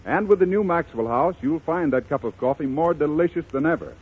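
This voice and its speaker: A man's voice: a little high, expressive, fairly quick, breathy edge. An American man delivering scripted 1930s radio narration and sponsor announcements on a tinny, muffled broadcast.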